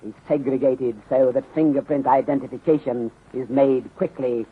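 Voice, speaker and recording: 1930s radio mystery, thin muffled sound, male actor as polite shrewd Chinese detective, husky, mid-pitched, formal clipped phrasing. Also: steady tone, lightly acted. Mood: calm, methodical. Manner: measured, formal, clipped phrasing that drops articles. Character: courteous, shrewd middle-aged male Chinese detective inspector. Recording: muffled, band-limited 1930s radio recording.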